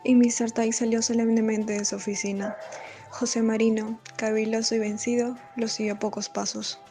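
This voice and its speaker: A woman's voice: a little high, flat delivery, normal speed, dark tone, faint music behind. A woman narrator reading scripted narration for an amateur radio serial.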